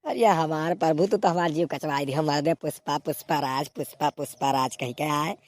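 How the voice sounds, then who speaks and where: A woman's voice: low-pitched, normal speed, darkish tone, no echo, natural voice. Woman voicing a scolding village cartoon policeman, dry recording. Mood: despairing. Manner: scolding, repetitive commands. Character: exasperated, bossy male village policeman at a checkpoint. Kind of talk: comic cartoon dialogue.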